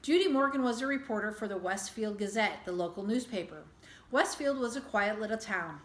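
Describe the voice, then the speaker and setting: A woman teacher reading a children's book aloud as an expressive storybook narrator. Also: medium pitch, brisk. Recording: echoey room.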